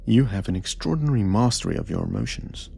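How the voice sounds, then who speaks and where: An actor playing a calm, formal interrogator who probes in a deliberate, controlled way in an audio drama. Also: male voice, on the low side, vocal fry, slightly rough, exaggerated voice. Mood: measured, probing. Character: calm, measured, probing male interrogator.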